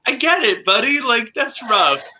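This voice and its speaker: A man's voice: very high voice, expressive, a little slow, a loud crowd. A man giving casual, wry commentary as a livestream panelist on a call. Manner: informal, wry, uses mock quotes. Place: video call.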